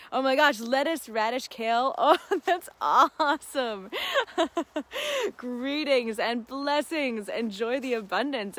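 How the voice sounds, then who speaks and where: A woman's voice: mid-range voice, a bit bright, a little hiss or hum. A woman hosting a casual live question-and-answer stream, talking to viewers in an effusive, gushing, giggly manner. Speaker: host of a live seed-farm Q and A. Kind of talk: casual livestream chat with viewers.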